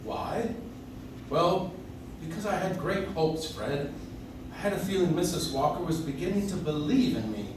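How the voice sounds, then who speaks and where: Kindly, principled old man played by a man, high and lively, stage radio drama, echoing room recorded from afar. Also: normal speed, lightly acted. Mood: dejected. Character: kindly, indignant old man believing himself Santa Claus. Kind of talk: live stage radio play. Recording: roomy, distant audience recording with noise.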